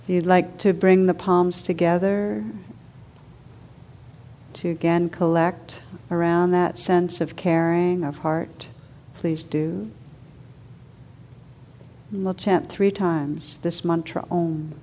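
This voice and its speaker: A woman meditation teacher leading a guided meditation in a gentle, soothing manner, with unhurried pauses between short phrases. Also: lowish voice, flat delivery, dull audio.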